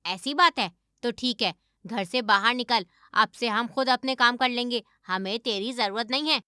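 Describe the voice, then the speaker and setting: Sharp-voiced nagging mother-in-law. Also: fairly high pitch, moderate pace, smooth, dry recording, studio quality. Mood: angry, commanding. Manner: nagging, accusatory. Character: scolding older mother-in-law, sharp and suspicious. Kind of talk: animated moral story dialogue.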